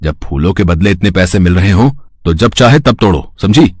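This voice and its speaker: Man voicing a grumbling village flower seller, low husky voice, excitable, greedy, theatrical, cartoon fable. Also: normal speed, dry recording, studio quality. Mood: dismissive, greedy. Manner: theatrical, stammering when startled, exclaiming. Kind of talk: animated moral story dialogue.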